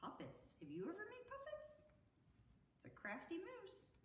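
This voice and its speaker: A middle-aged American woman reading a picture book aloud to children in an expressive, sing-song way. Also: extremely high pitch, measured pace, slight background noise. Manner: sing-song, expressive, addressing young listeners. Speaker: children's storytime host. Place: indoor room at an arts center. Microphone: camera a few metres away. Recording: roomy echo, distant camera mic.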